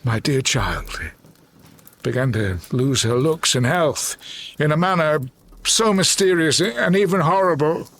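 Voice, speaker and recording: An actor playing a grim, vengeful old general in a gothic audio drama, speaking formally and commandingly. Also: male voice, medium pitch, sharp tone, slight background noise.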